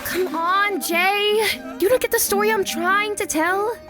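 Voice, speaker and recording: A woman's voice: very high voice, unhurried. An actress playing a dramatic, teasing young female college filmmaker in an audio drama, with music behind her. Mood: indignant. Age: young adult.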